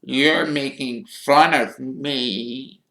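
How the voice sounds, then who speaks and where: A man voicing the gloomy, sulky ghost of a girl, tearful and self-pitying in a drawn-out wail. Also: mid-range voice, steady tone, vocal fry, bright, forceful tone, voice pushed up, exaggerated voice. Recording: roomy, echoing narration recording.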